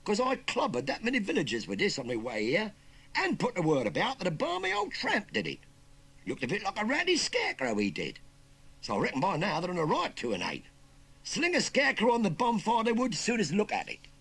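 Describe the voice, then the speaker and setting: A man voicing a bullying, cocky young scarecrow boy with a Cockney accent, sneering and bossy, in a husky storybook voice. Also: sits high, wildly swooping pitch, a little fast, pitched above the performer's own voice, heavily acted. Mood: gloating threats.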